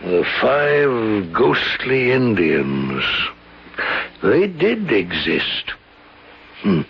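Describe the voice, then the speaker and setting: An actor playing a grave man who solemnly explains a curse in an old radio drama, with a husky, gravelly voice. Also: lowish voice, very animated, very slow, slight background noise, full character voice. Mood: solemn, portentous. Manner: solemn, portentous. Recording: dull old radio recording.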